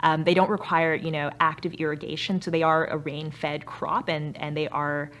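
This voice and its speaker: A woman's voice: sits low, level tone, moderate pace, a bit bright. A young woman with a Canadian accent, answering interview questions as a startup founder in an earnest, explanatory way. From Canada, grew up in Vancouver. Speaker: startup founder and chief executive. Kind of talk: interview answers about own company.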